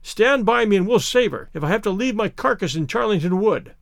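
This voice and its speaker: A man voicing a desperate, threatening, fiercely protective male stranger in a storybook reading, shouting and blustering in exclamations. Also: high voice, very animated, fairly quick, no echo, clean studio sound.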